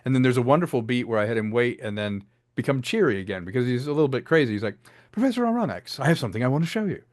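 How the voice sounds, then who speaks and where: A man's voice: medium pitch, racing, dry recording, studio quality. A man who directed an audio drama, chatting in an interview and acting out lines as he explains them. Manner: animated, acts out lines while explaining. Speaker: director, guest. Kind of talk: casual interview talk.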